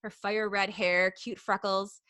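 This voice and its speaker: A woman's voice: mid-range voice, little pitch movement, unhurried, pushed and bright, clean tone, dry recording. A woman hosting a live podcast, reading fan fiction aloud and often breaking off with giggles and jokes. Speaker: podcast host.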